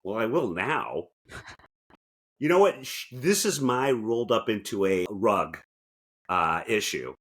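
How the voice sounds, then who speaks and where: A man's voice: lowish voice, sing-song, slow pace, long pauses, fairly bright tone, husky. A man co-hosting a podcast in casual, comedic chat, joking and often interrupting himself. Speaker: podcast co-host.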